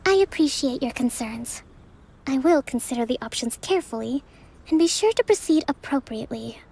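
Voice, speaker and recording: An actress voicing a gentle young island leader in an anime game, speaking in a formal, measured, diplomatic way. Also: female voice, high voice, lively intonation, gravelly, slightly rough, exaggerated voice. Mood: polite, reassuring.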